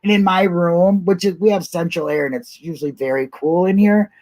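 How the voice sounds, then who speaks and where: A woman's voice: on the low side, a little fast, a little mellow. A middle-aged woman hosting a casual live online discussion, chatting in a colloquial, rambling way. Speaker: host of an online talk show.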